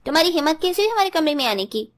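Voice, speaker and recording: A woman's voice: high-pitched, big pitch swings, very fast. A woman playing haughty, scheming young college-girl sisters-in-law in a cartoon story, with a scornful, bossy manner. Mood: angry, scornful. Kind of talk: Hindi cartoon story dialogue.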